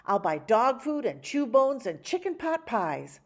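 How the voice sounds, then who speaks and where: A woman voicing a soft-hearted male street dog who loves poetry, in an earnest, expressive storybook style. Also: on the high side, a little slow, exaggerated voice. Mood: hopeful.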